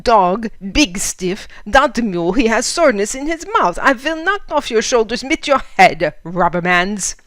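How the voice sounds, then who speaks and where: A woman voicing an excitable, outraged German immigrant mailman, shouting indignantly in broken English with a German accent. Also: fairly high pitch, measured pace, hardly any pauses, no room sound, studio quality, voice pushed up. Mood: furious.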